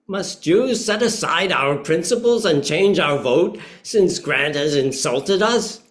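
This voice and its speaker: A man plays a nineteenth-century American orator at a play reading. His voice sits a little high for a man, and he declaims formally and deliberately, as if every clause were for the record. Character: indignant congressman and measured Reform rabbi, 19th-century American orators.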